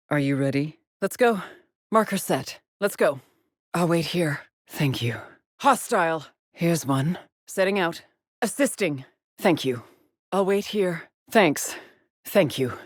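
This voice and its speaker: A weary, haunted woman astronaut from a video game. Her voice is low and very dry, close and without room sound. She speaks in clipped, terse phrases with a brooding edge. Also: lively intonation, slow pace, pauses often, no echo, full character voice. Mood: brisk, urgent. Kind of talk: scripted game character voice lines.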